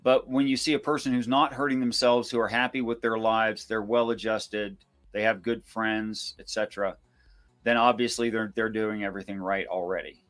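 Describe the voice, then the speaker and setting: A man giving informal scripture commentary and reading verses aloud in a measured, explanatory way. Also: lowish voice, level tone, a bit bright. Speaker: Bible discussion co-host.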